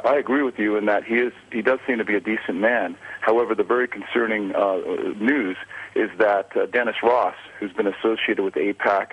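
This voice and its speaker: A man's voice: fairly low pitch, slightly rough. A man from Los Angeles calling in by phone, earnest and intense, with hesitations. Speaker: caller. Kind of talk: phone-in comment. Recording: muffled phone line.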